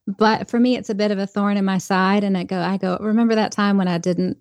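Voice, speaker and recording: A woman's voice: medium pitch, steady tone, quick pace, darkish tone, dry recording. A woman guest on a podcast interview, talking earnestly and reflectively about faith and leadership. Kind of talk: conversational interview.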